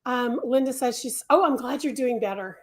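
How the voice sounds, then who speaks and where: A woman's voice: on the high side, moderate pace. A middle-aged woman hosting a casual crochet livestream, losing her voice and talking with many ums and restarts.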